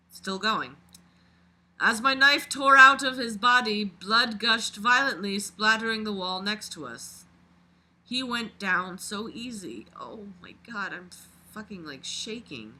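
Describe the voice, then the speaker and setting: Very bright, forceful, expressive dramatic reader. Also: mid-range voice, takes it slowly, poor audio. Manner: expressive, exclamatory, often swearing. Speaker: reader and commentator. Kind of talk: dramatic read-aloud of a novel with mocking reaction commentary.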